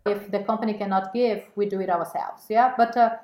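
A woman's voice: mid-range voice, steady tone, fairly quick, a little mellow. Hesitant woman in an echoey room. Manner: hesitant, many fillers and restarts. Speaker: guest, women's network co-chair. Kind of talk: casual interview talk.

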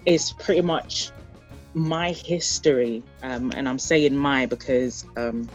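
Thoughtful, halting speaker raised in Ghana, music behind. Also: mid-range voice, highs cut off. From born in Liberia, raised in Ghana, later the Netherlands and UK. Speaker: interview guest. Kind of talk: reflective conversational interview.

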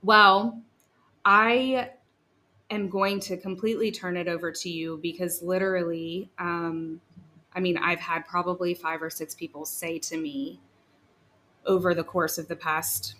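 A woman's voice: fairly low pitch, unhurried. Chatty podcast host woman, faint background noise. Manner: chatty and excitable, laughs easily. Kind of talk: casual podcast interview.

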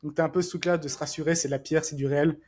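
A man's voice: on the high side, steady tone, rapid-fire, a little mellow, breathy edge. A man chatting casually as a podcast co-host, rambling with lots of fillers and restarts. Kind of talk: casual chat.

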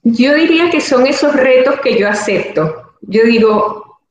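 A woman's voice: high-pitched, animated, a little slow. A woman from Venezuela with a Venezuelan Spanish accent, an actress and producer giving thoughtful, earnest interview answers. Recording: very echoey room, faint background noise.

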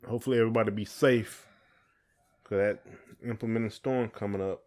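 A man's voice: on the low side, steady tone, brisk, darkish tone. A man hosting a casual podcast chat, talking in an informal, colloquial way. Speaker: podcast host.

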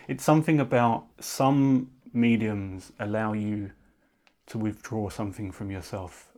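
A man's voice: lowish voice, a little mellow. A man hosting a poetry podcast, chatty and rambling, thinking aloud as he asks questions. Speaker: podcast host. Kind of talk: podcast interview.

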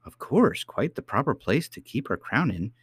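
A brisk, sceptical nursemaid, played by a man with a raised voice. She scolds and dismisses with dry sarcasm in a storybook reading, close and free of room echo. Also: medium pitch, sing-song, husky, voice pushed up. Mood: sarcastic. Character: brisk, sceptical, easily vexed woman nursemaid.

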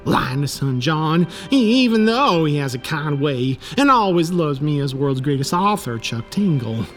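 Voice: mid-range voice, lively intonation, a little slow. An actor playing a panicky, goofy, apologetic writer in a comedic audio drama, exclaiming melodramatically with folksy mild oaths. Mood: remorseful. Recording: loud music under the voice.

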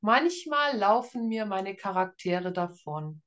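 A woman in her early thirties from Germany speaks as a guest author in a casual interview. Her voice sits at a medium pitch. She talks in a chatty, informal way with self-deprecating humor. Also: sing-song, takes it slowly, a bit bright. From Schwerin, Germany. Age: early 30s.

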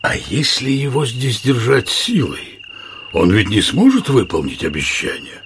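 Gravelly, earnest giant outlaw. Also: male voice, mid-range voice, big pitch swings, measured pace, a bit bright, slight background noise. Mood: conspiratorial, resolute. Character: loyal, determined giant outlaw, right-hand man. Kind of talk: radio play acting.

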